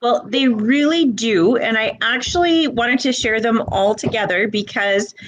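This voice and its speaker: A woman's voice: fairly high pitch, unhurried, lo-fi sound, slight background noise. A woman from Alberta, Canada, a recipe creator co-hosting a live cooking stream, chatty and prone to repeating words as she starts a thought. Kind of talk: live-streamed casual chat.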